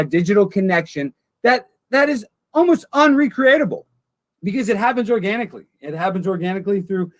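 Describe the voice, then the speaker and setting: A man's voice: on the high side, no echo. A man hosting a livestream, chatting with his viewers in a goofy, rambling way. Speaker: livestream host. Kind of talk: casual livestream chat.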